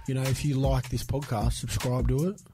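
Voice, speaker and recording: A man, co-hosting a podcast, teasing and excitable as he chats. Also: medium pitch, normal speed, music in the background. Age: 20s. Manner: excitable, teasing, laughs often. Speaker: podcast co-host. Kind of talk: casual chat.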